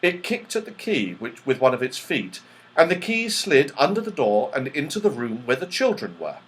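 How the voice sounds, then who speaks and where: A man reading a children's story aloud with expressive narration. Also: mid-range voice, moderate pace, low-quality recording. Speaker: audiobook reader.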